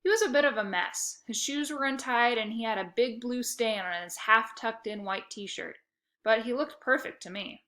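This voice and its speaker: Bright-voiced woman reading a children's book. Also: on the high side, moderate pace. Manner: lively storytelling. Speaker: reader.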